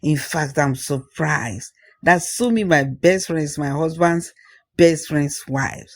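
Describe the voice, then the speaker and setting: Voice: mid-range voice, takes it slowly, no room sound. A storyteller voicing grown-up women friends in a folktale, affectionate, polite and emphatic. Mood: surprised. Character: warm, grown-up village woman reunited with childhood friends.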